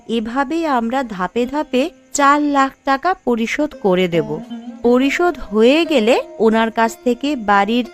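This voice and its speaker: Cartoon casting: actress as bossy yet caring young daughter-in-law, slightly high voice, slow deliberate delivery, music underneath. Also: female voice. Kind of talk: Bengali cartoon family drama dialogue.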